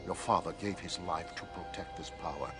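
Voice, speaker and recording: A man's voice: low voice, natural voice. A man playing a solemn old Jedi master. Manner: grave, measured prophecy. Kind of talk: game cutscene acting. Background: cinematic music.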